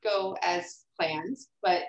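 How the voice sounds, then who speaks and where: A woman's voice: lowish voice, steady tone, extremely slow, reverberant, dull audio. A woman author who talks about her writing in a casual interview, hesitant and full of fillers and repeated words. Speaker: guest author.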